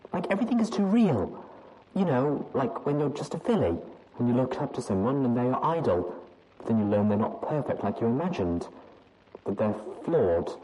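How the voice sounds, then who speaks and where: A woman's voice: extremely low pitch, warm tone, a little hiss or hum, low-quality recording. A woman reading aloud as an anxious young adult mare pony who rambles hesitantly and doubts herself. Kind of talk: audiobook reading of fan fiction.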